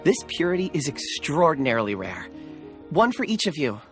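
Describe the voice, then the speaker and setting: A soft-mannered, courteous young dreamer from a fantasy video game. His pitch moves around a lot as he speaks earnestly and politely. A little music plays underneath. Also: big pitch swings, moderate pace. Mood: pleased. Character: gentle, courteous young man, dreamy rock enthusiast. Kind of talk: video game character dialogue.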